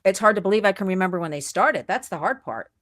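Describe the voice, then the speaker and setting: Gushing, animated New woman host. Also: medium pitch, fast. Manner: animated, gushing, laughs often. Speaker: podcast host. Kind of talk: enthusiastic interview chat.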